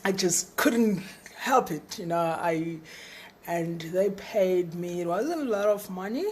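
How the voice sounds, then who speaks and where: A middle-aged woman with a husky voice giving an eager, encouraging webinar talk as a trainer, stumbling and repeating words now and then. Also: on the low side, takes it slowly, a little mellow. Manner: stutters at times, repeats words; enthusiastic, encouraging. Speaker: facilitator and trainer.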